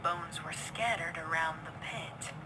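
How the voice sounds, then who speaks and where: A woman's voice: deep voice, measured pace, noisy background. A woman reading scripted horror fiction as a dramatic narrator.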